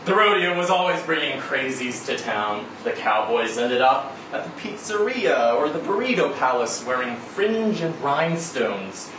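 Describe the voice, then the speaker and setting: Man, live short story reading, slow measured delivery, medium pitch, echoey noisy room. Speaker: story reader. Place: live reading event in a venue. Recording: roomy, distant, noisy live event recording.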